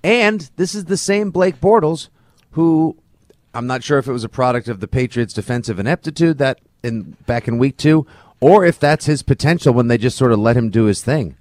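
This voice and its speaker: A man's voice: mid-range voice, animated, normal speed, darkish tone, no echo, studio quality. A man co-hosting a sports podcast, talking football casually with strong opinions and emphatic, colorful phrasing.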